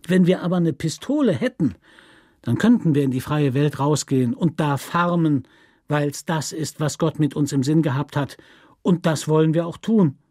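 A man's voice: fairly high pitch, normal speed, a little mellow, dry recording, studio quality, natural voice. A man voicing a bitter, defiant convict in a German radio story, with plain, repetitive, insistent phrasing. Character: bitter, defiant male convict pleading his innocence.

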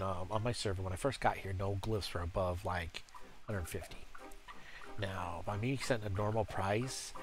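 A man with a stuffy nose from a cold, walking through game settings in a casual, halting tutorial full of uhs and restarts. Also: lowish voice. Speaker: game guide creator. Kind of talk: casual screen-recorded tutorial.

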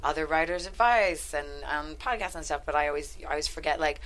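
An American woman in middle age chatting casually as a guest writer, in an animated, self-deprecating manner. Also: sits low, fairly quick. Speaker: guest screenwriter and actress. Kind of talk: casual interview chat. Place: studio couch on a podcast set. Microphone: headset.

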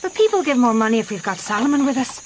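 An actress playing an eager, cheerful, hard-working nun in a radio drama. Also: female voice, fairly high pitch, expressive, quick pace, lightly acted. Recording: noisy outdoor ambience, insects, animals.

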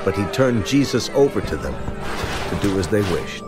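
Male narrator for scripture drama: low pitch, measured storytelling, music underneath. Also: big pitch swings.

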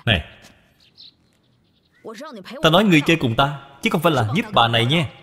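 A man's voice: a little high, animated, brisk, a bit bright, in a large hall. A man voicing a spoiled, impatient young boy in a cartoon dub, brash and demanding. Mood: sulky, demanding.

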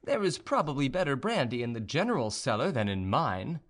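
A man's voice: mid-range voice, very animated, fairly quick, fairly bright tone, pitched above the performer's own voice. A man voicing a mocking tavern keeper in a storybook reading, taunting and goading in an exclamatory way. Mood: sly, goading.

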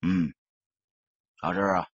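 A man acting a gruff, short-tempered, wary gangster who mutters curtly in an audiobook. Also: low-pitched, fairly even intonation, takes it slowly, no echo, dull audio, pitched below the performer's own voice, full character voice. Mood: guarded, dismissive. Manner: curt, muttered.

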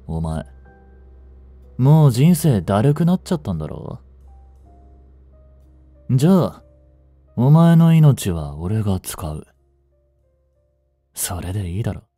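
Man playing a slow, low-voiced languid delinquent. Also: warm tone, no echo, heavily acted. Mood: commanding, low-key. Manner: listless, blunt, rough slangy talk. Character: gruff, languid young male delinquent, secretly caring. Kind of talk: Japanese voice drama for female listeners.

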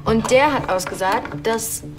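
An actress playing a businesslike police investigator, factual and efficient, in a television crime comedy. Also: female voice, fairly high pitch, measured pace, music in the background, lightly acted. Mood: matter-of-fact.

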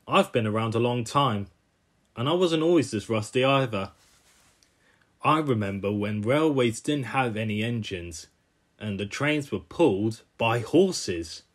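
Guy doing an old rusty cartoon train engine, slow and proud, reminiscing about the old days. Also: mid-range voice, animated. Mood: proud, nostalgic. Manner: reminiscing, chuckling storyteller.

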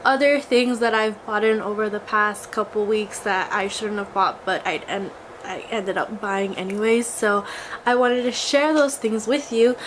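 A woman's voice: medium pitch, unhurried. A young woman in her twenties, a beauty vlogger, chatting casually and gushing over a haul, her voice a little scratchy from a cold. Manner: gushing, enthusiastic, exclamatory. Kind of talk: casual makeup haul vlog. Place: small indoor room before a glitter backdrop.

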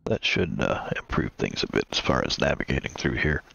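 A man with a stuffed-up voice hosting a let's play, calmly explaining what he builds in the game, with many pauses and uhs. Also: very deep voice, vocal fry, breathy edge, dull audio. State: stuffed up, congested. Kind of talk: casual gameplay commentary.

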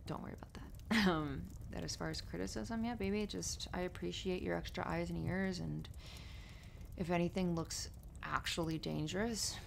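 A woman playing an affectionate, playful writer girlfriend in an intimate roleplay, speaking softly and conversationally with giggles and breathy murmurs. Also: on the low side, steady tone, moderate pace. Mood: tender, reassuring. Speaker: audio roleplay performer. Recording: noisy fireplace ambience behind voice. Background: crackling fireplace.

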